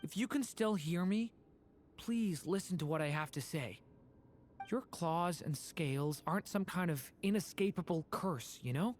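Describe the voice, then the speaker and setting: A light, youthful voice, earnest and gentle. The delivery is sincere, encouraging game drama, with faint noise behind it. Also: male voice, on the high side. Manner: earnest, soft-hearted, reassuring. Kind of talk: dramatic video game voice acting.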